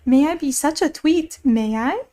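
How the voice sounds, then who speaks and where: A dithery storybook queen coos in a very high, pigeon-like voice, as a woman reader puts her on. She draws out her words slowly and sing-song. Her tone is soft and fluttery. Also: expressive. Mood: cooing. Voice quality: high, cooing voice. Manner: slow, sing-song recitation.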